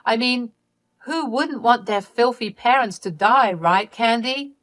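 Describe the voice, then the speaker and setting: A woman's voice: on the high side, unhurried, fairly bright tone, no echo, pitched above the performer's own voice. A woman voicing a stern, betrayed mother in a story, scolding her grown daughter with bitter sarcasm. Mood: bitter, accusing.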